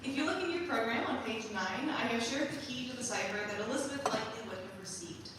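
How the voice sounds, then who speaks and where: A woman with a fairly high voice presents a musical in a measured, explanatory way, reading prepared introductions. She is heard from far off in a very reverberant hall. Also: noisy background. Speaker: presenter of her own musical. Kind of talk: scripted narration introducing songs. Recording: very echoey hall, distant, faint music.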